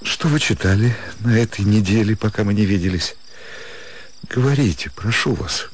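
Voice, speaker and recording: A man's voice: fairly low pitch, expressive, normal speed, slightly rough. An actor playing an earnest, lovestruck country doctor in a radio drama, pleading ardently and brooding over his doubts. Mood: eager, insistent. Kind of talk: theatrical radio drama acting. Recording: music behind the voice.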